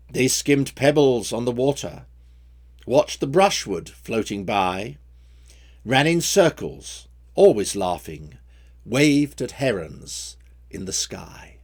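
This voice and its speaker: Slow, expressive man reciting poetry. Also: mid-range voice. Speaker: poet reading own poems. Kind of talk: expressive recitation of rhyming story poems.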